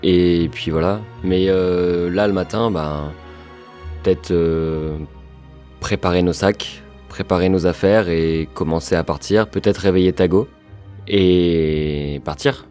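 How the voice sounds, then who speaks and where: A man's voice: low voice, fairly even intonation, slow, darkish tone, faint music behind. A man playing in a tabletop game, easygoing and rambling as he says what his character does. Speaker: player. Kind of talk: casual tabletop roleplay.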